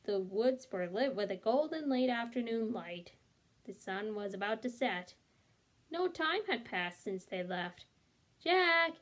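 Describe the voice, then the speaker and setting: Expressive woman reading a children's story. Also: mid-range voice, unhurried. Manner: animated, acts out the dialogue. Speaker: read-along storyteller.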